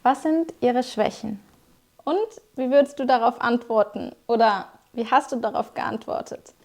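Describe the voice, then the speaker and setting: A young woman who is a German teacher, explaining vocabulary to viewers in a clear, scripted lesson style. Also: fairly high pitch, normal speed, a little mellow.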